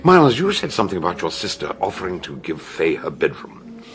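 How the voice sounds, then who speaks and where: An actor with a British accent playing a shrewd older detective doctor in a radio drama, telling his deductions with emphatic, dramatic pauses. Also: sits low, wildly swooping pitch, fairly bright tone, a little hiss or hum, exaggerated voice. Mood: shrewd, intrigued. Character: shrewd, theatrical older male detective doctor explaining a murder.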